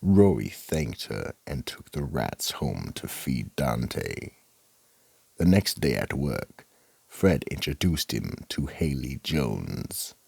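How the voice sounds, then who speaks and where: A man playing a sinister, menacing horror storyteller with a gravelly, husky voice, narrating a radio drama theatrically. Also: rumbling low, big pitch swings, slow, a little mellow, no room sound, exaggerated voice. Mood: ominous. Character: sinister psychotic horror storyteller, keeper of the vault. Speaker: host and narrator.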